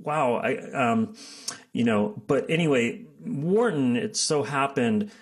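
A man's voice: mid-range voice, expressive, takes it slowly, husky. A middle-aged man, telling his career story as an interview guest, full of fillers and restarts. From United States. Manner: rambling, many fillers and restarts, laughs often.

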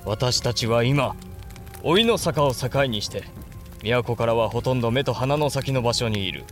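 A man's voice: mid-range voice, soft background music, lightly acted. Man acting a grave, resolute samurai lord. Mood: intense, commanding. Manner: grave, deliberate, commanding. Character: brooding, resolute samurai lord and elder brother. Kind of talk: Japanese period audio drama acting.

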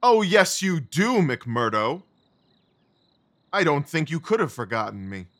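A man playing a confident, genial Victorian gentleman detective in an audio drama, assured, wry and articulate. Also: a little high, sing-song, measured pace, full character voice. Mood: amused, genial.

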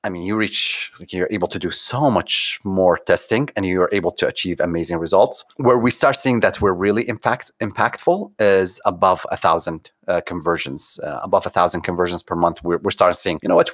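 A man with a fairly low voice talks through ideas in a loose, animated way. He fills his sentences with "like, you know" and slips into little acted-out dialogues. The sound is dry and close, with no room around it. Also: no echo. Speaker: guest, conversion optimization consultant. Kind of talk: podcast interview.

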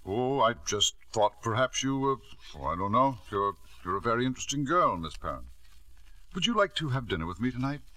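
A middle-aged knight and husband in a vintage radio play. His voice is bright and forceful, with lively swings of pitch. He is smooth, controlling and self-justifying, speaking in clipped theatrical phrases. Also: a little fast, no room sound. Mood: flirtatious, halting. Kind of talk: 1950s radio drama acting with first-person narration. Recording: vintage 1950s radio broadcast.

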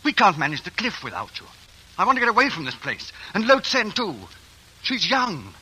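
A man's voice: high voice, big pitch swings, normal speed, pushed and bright, breathy edge, exaggerated voice, poor audio. An actor playing an impatient, hotheaded young male traveler who pleads urgently, recorded in a 1940s radio drama. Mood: agitated. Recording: old radio broadcast.

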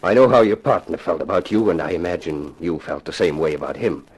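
Grave, deep, husky rich gentleman. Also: poor audio. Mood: earnest, formal. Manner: formal, measured, solemn phrasing. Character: grave, formal, wealthy father, a grieving client. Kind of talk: 1950s radio crime drama acting.

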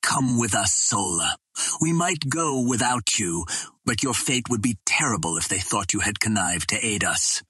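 Man reading as a proud young martian princess, husky low voice, stately and earnest, storybook. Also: expressive, normal speed, sharp tone, dry recording, exaggerated voice. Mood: pleading.

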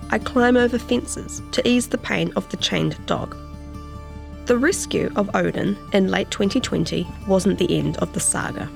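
New Zealand woman journalist, slightly creaky voice. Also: medium pitch. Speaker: journalist and podcast narrator. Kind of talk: interview chat and scripted feature narration.

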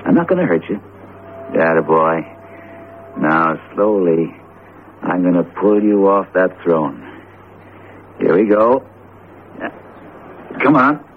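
An actor playing a loyal, protective best friend who talks in a breezy, colloquial, coaxing way in a 1970s radio drama. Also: male voice, sits low, expressive, slow, long pauses. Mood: coaxing, urgent. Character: loyal, plain-talking male best friend, protective narrator. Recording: tinny, hissy old radio broadcast.

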